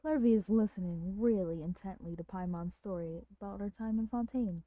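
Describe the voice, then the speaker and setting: A woman's voice: mid-range voice, a little fast, dry recording, lo-fi sound. Dry-sounding woman narrating a game story. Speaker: live streamer.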